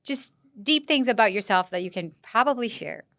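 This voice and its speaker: A woman's voice: a little high, lively intonation, moderate pace, pushed and bright, no echo. Brazilian woman hosting a podcast. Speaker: podcast host. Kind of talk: casual interview.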